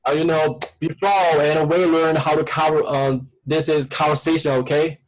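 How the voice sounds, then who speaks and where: A man's voice: on the high side, little pitch movement, moderate pace, poor audio. A man with a Chinese accent, a native Chinese speaker teaching an online class and coaxing students with repetitive prompts in broken English.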